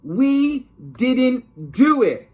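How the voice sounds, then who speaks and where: A man voicing a mischievous, excitable schoolboy in a children's storybook, exclaiming and protesting. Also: very high-pitched, drawn-out words, pitched above the performer's own voice, exaggerated voice.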